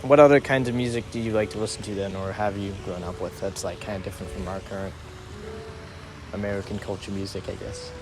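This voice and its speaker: A man interviewing a student, asking loose, rambling questions in a relaxed way. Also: lowish voice, quick pace, music in the background.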